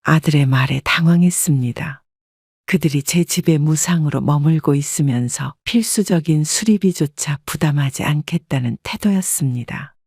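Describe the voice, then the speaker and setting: A woman calmly narrating a first-person life story in a measured, scripted way. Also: low voice, breathy edge, no echo, clean studio sound.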